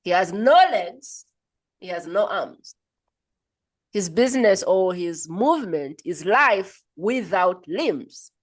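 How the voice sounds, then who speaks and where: A woman's voice: mid-range voice, expressive, takes it slowly. Woman podcast host from Uganda, emphatic storyteller, interview chat.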